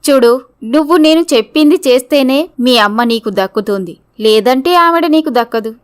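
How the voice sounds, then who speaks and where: Woman playing young female story characters, high pitch, crisp clear voice, dramatic moral-tale dialogue. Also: expressive, moderate pace. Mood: menacing, demanding. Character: scheming, greedy, threatening woman blackmailer and her frightened young victim.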